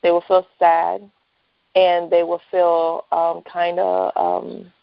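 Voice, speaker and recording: A woman's voice: lowish voice, monotone, slow, gravelly, dry recording. A woman chatting casually as a guest in a phone interview. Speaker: interview guest, writer and entrepreneur. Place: phone call. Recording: dull lo-fi phone line.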